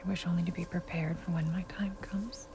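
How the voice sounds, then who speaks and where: A wise, loving wife, with a fairly low voice. She is calm, gentle and measured, lightly teasing, in an intimate video game scene with faint background noise. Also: creaky. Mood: tender, teasing.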